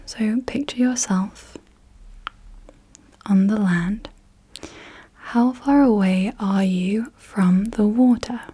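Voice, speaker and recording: A young woman whispering softly and unvoiced as an ASMR host, gently asking slow questions in a guided visualisation, close to the mic. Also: mid-range voice, husky. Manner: soft unvoiced whisper throughout. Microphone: close sensitive mic.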